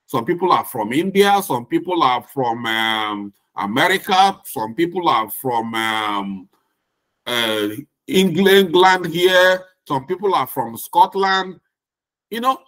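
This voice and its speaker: A man with a Nigerian accent delivers a business lesson at an unhurried, measured pace. His voice sits fairly high for a man, and he stresses points by repeating them plainly. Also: lively intonation, a bit bright. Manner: slow, deliberate, with emphatic repetition. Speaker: lecturer. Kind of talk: recorded lecture.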